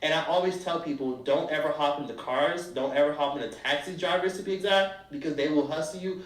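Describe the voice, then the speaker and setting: A man giving blunt, animated travel advice in a casual monologue, sometimes acting out strangers' voices. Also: fairly high pitch, brisk. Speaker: travel vlogger. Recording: roomy echo from a distance.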